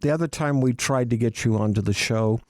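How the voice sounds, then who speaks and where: A man's voice: medium pitch, brisk, darkish tone, no room sound, clean studio sound. A man hosting a podcast, asking questions in a friendly, conversational way. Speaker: podcast host. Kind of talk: casual interview.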